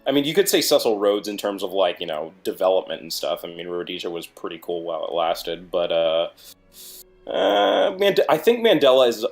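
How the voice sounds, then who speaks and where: A man's voice: low voice, expressive, moderate pace, faint music behind. A man chatting casually and bluntly about his opinions as a guest on an online group call, sometimes swearing. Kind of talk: casual chat, political opinions.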